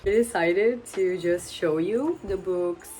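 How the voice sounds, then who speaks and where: A woman's voice: lowish voice, slow pace, a little mellow, slight background noise. A woman in her twenties, a native Portuguese speaker, chatting casually to camera at home, a little awkward and rambling, often laughing. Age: 20s. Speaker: book vlogger. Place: home with pets around.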